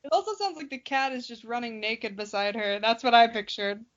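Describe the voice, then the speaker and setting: A woman's voice: on the high side, brisk. A young adult woman chatting casually as a podcast co-host, with a wry, joking manner. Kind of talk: casual chat. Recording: dull, lo-fi audio.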